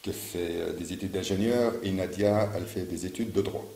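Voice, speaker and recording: Deep gravelly hesitant man, echoey room. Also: steady tone, normal speed, dark tone, a little hiss or hum. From Morocco. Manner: hesitant, many fillers and restarts. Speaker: local election candidate. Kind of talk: campaign interview. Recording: echoey room with faint ambience.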